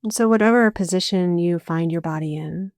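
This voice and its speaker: A woman guiding a meditation as a yoga nidra teacher, speaking calmly and soothingly in short phrases with long pauses. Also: on the low side, no echo, studio quality. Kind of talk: guided meditation, slow spoken instruction.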